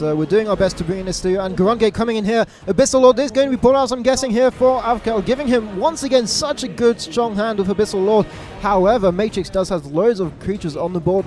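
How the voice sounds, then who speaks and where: A man giving live play-by-play commentary on a card game match, stressing repeated words and correcting himself quickly. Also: high-pitched. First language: English. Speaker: esports caster. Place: event venue abroad.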